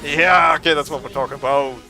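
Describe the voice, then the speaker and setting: Excitable German man with a bright voice. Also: medium pitch, normal speed, gravelly, soft background music. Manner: excitable, swearing, trash-talking. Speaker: gaming streamer. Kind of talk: casual gameplay commentary.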